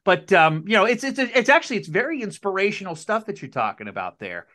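An enthusiastic man hosts a podcast in a high, bright voice. He asks questions eagerly and often stumbles over his words and repeats them as he goes. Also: very animated, fast. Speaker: podcast host. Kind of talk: upbeat interview hosting.

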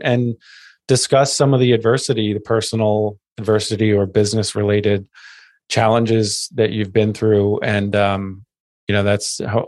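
Brief: male podcast host, lowish voice, rambling filler-laden questions, dry close mic. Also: darkish tone, no echo. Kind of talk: conversational interview.

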